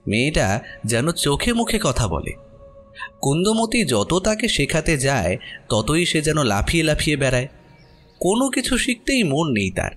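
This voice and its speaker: A man's voice: a little high, big pitch swings, normal speed, fairly bright tone, soft background music. A man narrating a horror story expressively from a script.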